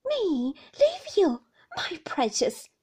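A woman's voice: on the high side, sing-song, measured pace, darkish tone, slightly rough, exaggerated voice. A woman voicing a devoted, scolding maidservant in a storybook, with emphatic, homely exclamations. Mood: fiercely devoted. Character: devoted, scolding older maidservant, blustering and tender.

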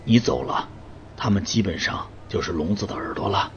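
A man plays a cynical older colleague in a radio play, speaking furtively. His voice is low. It sounds like a muffled phone call with a little noise. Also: animated, normal speed, gravelly. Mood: guarded. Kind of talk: audio drama acting.

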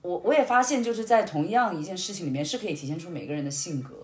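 A woman chatting casually as a podcast guest, telling personal stories in an animated, reflective way and explaining things step by step. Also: fairly low pitch, racing. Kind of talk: casual conversational personal storytelling. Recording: roomy, echoing indoor sound.

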